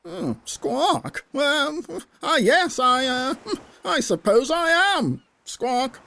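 A woman's voice: sits high, lively intonation, extremely slow, creaky, a bit bright, exaggerated voice. A woman voicing a fussy, lonely male seagull who hesitates and squawks between phrases. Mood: shy. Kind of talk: children's storybook acting.

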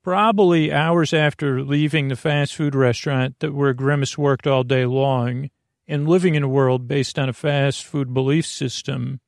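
A man with a creaky voice hosting a podcast, delivering a meandering bedtime monologue with halting, repeated words and tangents. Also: medium pitch, steady tone, dry recording, studio quality. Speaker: podcast host.

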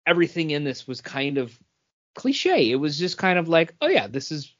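A man's voice: on the high side, sing-song, normal speed. A man co-hosting a podcast, chatting casually through a comic book review in a rambling way that repeats phrases as he thinks. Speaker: podcast co-host. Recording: dull lo-fi podcast audio.

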